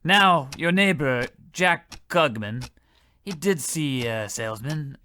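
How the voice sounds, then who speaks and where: A man acts out a blundering radio-comedy policeman with a bright, forceful voice. He rambles along in a folksy, self-important way, oblivious and keen to help himself. There is clear noise behind the voice. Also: fairly high pitch, lively intonation, slow pace, heavily acted. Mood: matter-of-fact. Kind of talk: old-time radio comedy acting.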